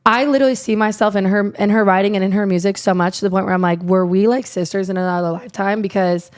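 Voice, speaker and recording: Young woman guest from Iowa, raspy, loose rambling delivery. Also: mid-range voice, fairly even intonation, quick pace. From Iowa, USA. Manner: rambling, lots of "like" fillers. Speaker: guest, country singer. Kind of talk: casual interview chat.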